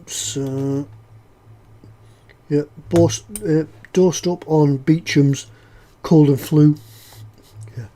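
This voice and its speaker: A man's voice: on the high side, fairly even intonation, drawn-out words, long pauses, warm tone. Snuffly man chatting while model building. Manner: rambling and chatty, with frequent "uh"s and self-repeats. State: snuffly from a head cold. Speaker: scale-model builder hosting a live stream. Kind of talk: casual livestream chat, reading out viewer comments.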